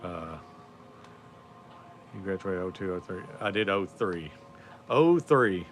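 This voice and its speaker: A man in his forties with a drawl talks in a low, easygoing voice. He rambles on a livestream, full of fillers and loose asides. Faint music plays underneath. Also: animated, slow pace. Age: 40s. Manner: rambling, laid-back, drawling with many fillers. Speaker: livestream host. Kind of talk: casual chat.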